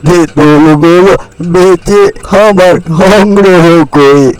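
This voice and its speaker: Slow-talking scolding old granny, voiced by a man. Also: sits high, rough recording. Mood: scolding, grudging. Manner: slow, drawn-out, exclamatory delivery. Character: scolding old village granny guarding her tamarind tree. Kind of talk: cartoon fairy-tale acting.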